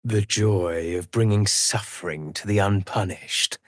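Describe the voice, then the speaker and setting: Male actor for a fantasy game necromancer judge: solemn, vengeful, menacing. Deepish, deliberate, archaic delivery, very dry close sound. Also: no echo, natural voice. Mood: dark relish. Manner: slow, formal, archaic, theatrical speech. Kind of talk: video game fantasy voice acting.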